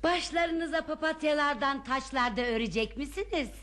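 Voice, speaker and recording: A high-voiced wife in a radio drama. She is mocking and sarcastic, quick to needle. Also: fairly bright tone. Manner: teasing, sarcastic. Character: mocking, sharp-tongued wife. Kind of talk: Turkish radio theatre acting.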